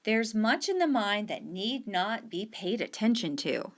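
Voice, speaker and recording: A woman's voice: mid-range voice, sing-song, measured pace. A woman reading aloud and voicing a tired working mother who dodges her son's questions in a story. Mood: weary. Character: weary, guarded working mother.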